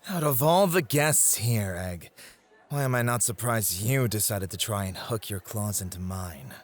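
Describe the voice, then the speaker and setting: A man plays a possessive male nightclub host with a fairly low voice and very animated intonation. He is suave and pointedly polite, with a sardonic, territorial edge. The style is intimate ASMR roleplay. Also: measured pace. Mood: cool, accusing.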